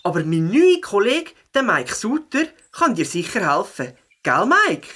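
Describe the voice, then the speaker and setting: A woman voicing a cheerful little beaver with a slightly husky voice and a Swiss German accent in a children's audio play. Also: medium pitch, measured pace. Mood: friendly. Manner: expressive, exclamatory. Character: cheerful little beaver who loves biking.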